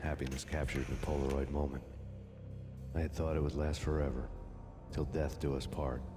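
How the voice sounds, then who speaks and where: Very deep, deadpan, brooding noir cop. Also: male voice, moderate pace. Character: weary, bitter, sardonic vengeful cop narrating his downfall. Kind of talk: hardboiled noir voice-over narration. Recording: loud music underneath.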